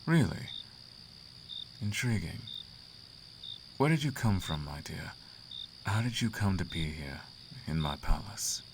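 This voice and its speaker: Male actor as a demon prince, very low voice, suave, imperious, teasing, intimate fantasy audio roleplay, crickets chirping behind. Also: animated, noisy background, full character voice. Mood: curious, flirtatious. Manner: teasing, silky, grandly formal, drawn-out emphasis. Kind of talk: roleplay ASMR, intimate theatrical monologue. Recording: clear outdoor cricket ambience behind.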